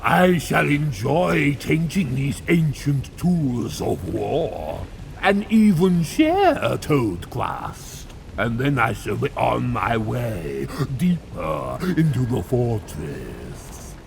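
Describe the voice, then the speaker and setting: An actor voicing a gleefully devout, sinister plague sorcerer in a fantasy game, savouring archaic words in a gravelly, theatrical voice. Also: male voice, medium pitch, sing-song, takes it slowly, a little hiss or hum, heavily acted. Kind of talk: fantasy game villain acting.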